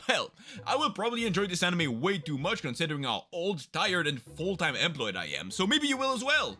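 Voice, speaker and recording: A man's voice: high-pitched, sharp tone. A young man hosting a comedic commentary video about the anime season, sarcastic and mock-dramatic. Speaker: YouTube host.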